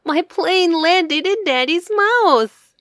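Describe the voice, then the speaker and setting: A woman voicing an affectionate, playful young boy in a children's storybook, speaking eagerly in simple, expressive phrases. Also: very high-pitched, slow pace, no echo, clean studio sound, pitched above the performer's own voice, full character voice. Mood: excited. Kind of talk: children's storybook read-aloud.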